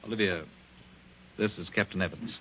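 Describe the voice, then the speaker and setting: Controlled, distrustful husband in vintage radio drama, rapid clipped questioning, thin band-limited sound. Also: male voice, low voice, fairly even intonation. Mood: accusing. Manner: clipped, probing, cool. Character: suspicious, controlled husband questioning his wife. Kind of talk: 1940s radio drama acting. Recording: tinny 1940s radio broadcast.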